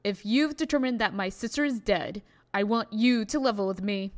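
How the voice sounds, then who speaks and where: A woman's voice: mid-range voice, normal speed, lightly acted. A woman playing a proud, composed fairy-tale heroine holding back worry, formal and firm in a comic dub drama. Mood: subdued, worried.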